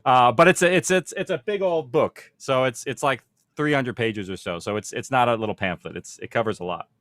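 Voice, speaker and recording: Man, mid-pitched, informal panel host and explainer, very little room sound. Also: fairly quick, fairly bright tone, dry recording, studio quality. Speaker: panel host, audio production producer.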